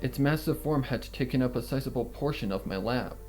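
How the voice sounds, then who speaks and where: A frightened pony read by a man confesses in a shaky, halting, dramatic narration. The pitch sits in an ordinary male middle, and music plays underneath the horror story. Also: darkish tone, close to an everyday voice. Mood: guilty, haunted. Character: frightened, guilt-ridden young female pony narrator. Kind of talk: dramatic first-person horror fan fiction reading.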